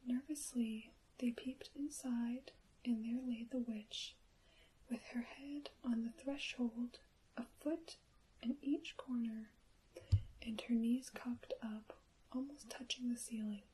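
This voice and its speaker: A woman reading a fairy tale aloud as ASMR in a soft, breathy whisper, gentle and careful with her words. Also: a little high, steady tone, slow, a little hiss or hum, rough recording. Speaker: storyteller and ASMR host.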